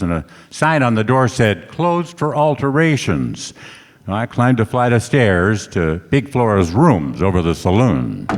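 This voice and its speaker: Male actor, hard-boiled wry detective, 1940s radio drama, lively swooping delivery, reverberant stage hall. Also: fairly low pitch. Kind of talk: 1940s radio detective acting, first-person narration.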